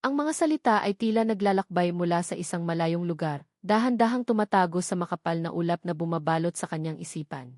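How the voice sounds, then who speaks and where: A woman's voice: fairly low pitch, expressive, a little fast, a little mellow, dry recording, clean studio sound. A woman narrating a romantic drama story in a scripted audiobook style.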